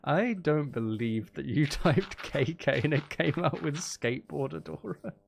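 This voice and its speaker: A man's voice: fairly high pitch, a little slow, vocal fry. A man hosting a live stream, chatting casually with viewers in a rambling, chatty way.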